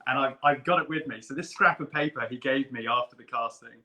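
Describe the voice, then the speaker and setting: A man telling eager, rambling stories on a video call, like an excited fan. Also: medium pitch, brisk. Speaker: guest puppeteer and performer. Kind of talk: casual chat.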